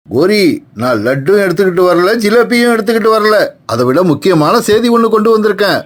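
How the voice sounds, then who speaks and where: A man's voice: sits high, big pitch swings, a little fast. A man voicing a scheming, greedy male crow in a cartoon, blustering and demanding. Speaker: cartoon voice actor. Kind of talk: animated cartoon voice acting.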